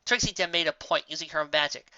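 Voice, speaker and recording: A woman's voice: low voice, fast, bright, forceful tone, dry recording. A woman reading a scripted story as narrator, expressively, on a slightly dull home recording.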